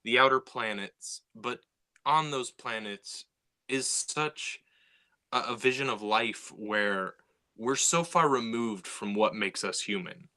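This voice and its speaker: A man with a bright, forward voice co-hosts a podcast in casual conversation. He talks with animated energy, stumbling over restarts and repeated words as his thoughts run ahead. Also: medium pitch, slow pace. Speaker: podcast co-host.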